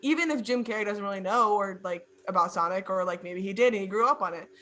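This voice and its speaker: A woman's voice: mid-range voice, fast talker, a bit bright. A woman guest on a casual video-call chat show, talking loosely with lots of "like" and playful quoted asides. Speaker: guest streamer.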